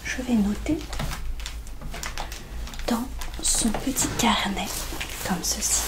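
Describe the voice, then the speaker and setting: A woman doing a soft-spoken, whispered ASMR roleplay as a veterinarian, speaking gently and reassuringly with hesitant pauses. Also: fairly high pitch.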